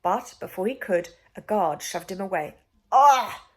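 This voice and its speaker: A woman reading a children's book aloud as an expressive story narrator. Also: fairly low pitch, moderate pace, breathy edge.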